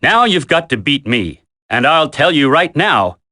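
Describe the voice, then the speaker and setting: A man's voice: fairly high pitch, very animated, a little slow, sharp tone, dry recording, clean studio sound, heavily acted. A man voicing a stern military combat instructor in a video game tutorial, giving blunt, emphatic instructions. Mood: challenging. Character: stern, gruff male military combat instructor briefing a trainee. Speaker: training instructor.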